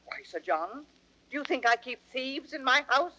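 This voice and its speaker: A woman's voice: high voice, animated, normal speed, pushed and bright, heavily acted. A garrulous tavern hostess, scolding and indignant in a vintage radio play. Her voice swings widely as she protests, and the recording is slightly muffled. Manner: flustered, protesting. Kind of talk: old radio Shakespeare acting.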